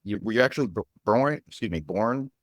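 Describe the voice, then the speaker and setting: A man hosting a podcast who asks his questions in a halting way, often restarting mid-sentence. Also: fairly low pitch, animated, no room sound, studio quality. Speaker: podcast host. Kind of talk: conversational interview questions.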